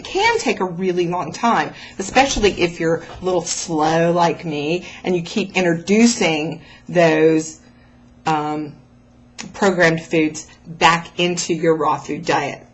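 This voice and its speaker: A woman with a slightly low voice speaks at a very unhurried pace. She hosts a casual motivational vlog, talking straight to her listeners in an encouraging, emphatic way. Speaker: host of a raw food vlog. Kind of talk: casual motivational talk to followers.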